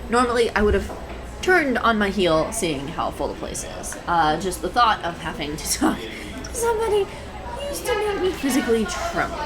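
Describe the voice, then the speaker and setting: A woman's voice: mid-range voice, close to an everyday voice. A woman playing a shy, anxious, adorkable young woman in an intimate roleplay audio, rambling hesitantly and stammering. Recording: clear background coffee-shop ambience noise. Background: coffee shop ambience sound effects.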